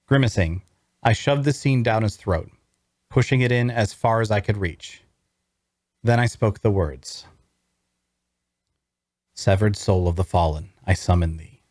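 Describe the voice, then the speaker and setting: A man's voice: lowish voice, a little slow, pauses often, a little mellow, no room sound, studio quality. A man playing a wry necromancer who narrates his own adventure, reading scripted first-person prose with dramatic storytelling. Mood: solemn incantation.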